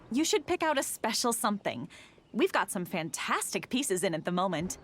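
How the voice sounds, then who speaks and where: Casting: courteous female merchant, salesy and eager, higher voice, lively delivery, game dialogue over ambient noise. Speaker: shop merchant. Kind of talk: scripted video game dialogue.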